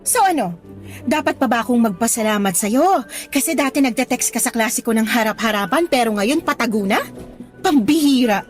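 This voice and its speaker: A woman's voice: on the high side, expressive, normal speed, faint music behind, close to an everyday voice. A woman with a Filipino accent playing a stern, hurt female schoolteacher in a radio drama, scolding emphatically and mixing in English. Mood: exasperated. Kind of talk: Tagalog radio drama acting.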